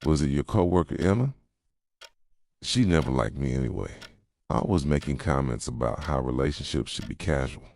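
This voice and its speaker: Man acting a very deep-voiced, possessive yandere boyfriend. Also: expressive, normal speed, dry recording, clean studio sound, full character voice. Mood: insistent, possessive. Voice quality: soft, low, breathy at times. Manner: intimate, insistent, pleading and accusing. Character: possessive, obsessive yandere boyfriend, hurt and manipulative. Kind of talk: ASMR roleplay monologue. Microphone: close microphone, dry sound.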